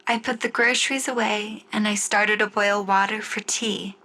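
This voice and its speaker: A woman narrating a scripted first-person story in the style of an old-time radio show, with deliberate storytelling phrasing. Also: medium pitch, fairly even intonation, background noise. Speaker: writer and narrator of an audio story.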